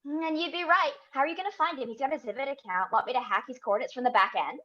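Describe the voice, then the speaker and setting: A woman plays a brash young female best friend, high-pitched and fast. She is bossy and blunt in a table-read style, heard through slightly dull, lo-fi audio. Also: bright, forceful tone. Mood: pushy. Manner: brash, pushy.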